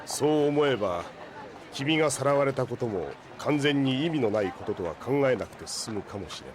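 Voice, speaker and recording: A man playing a stoic, protective old cyborg, speaking in a measured, formal, dryly serious way in an anime audio drama. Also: mid-range voice, lively intonation, natural voice. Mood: calm reflection. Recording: faint street crowd behind.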